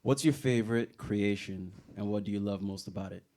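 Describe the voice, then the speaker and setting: Laid-back radio host man, low voice. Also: a little fast. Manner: laid-back, laughs often, says "you know". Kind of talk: casual radio interview. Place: radio studio. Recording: loud music bed behind voice. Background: music.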